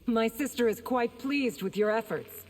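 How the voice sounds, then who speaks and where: A woman playing a brisk, dignified royal princess in video game dialogue, recorded at some distance. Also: on the high side, a little mellow, close to an everyday voice. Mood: formal, assured. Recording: distant from the mic.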